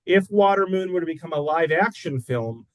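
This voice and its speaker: Halting man hosting an author talk, dry sound. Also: a little high, expressive, dry recording. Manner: halting, many uh fillers. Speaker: library event host.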